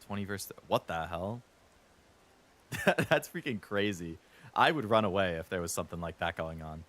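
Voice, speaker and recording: A man streaming a game, chatting casually and rambling a bit, stuttering now and then when he talks fast. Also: deep voice, fairly bright tone. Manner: rambling, chatty, lots of fillers.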